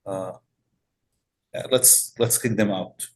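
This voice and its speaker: Palestinian pastor guy, slow measured talk, lots of uhs, lowish voice. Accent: Palestinian Arabic. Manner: measured, deliberate, many pauses and "uh" fillers. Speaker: pastor, interview guest. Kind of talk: reflective interview answer.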